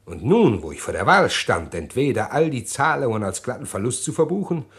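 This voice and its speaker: An actor playing an evasive, well-spoken gentleman criminal in a German radio crime play, speaking in a formal, hesitant, self-justifying manner. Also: mid-range voice, very animated, fairly quick. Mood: troubled, confessing.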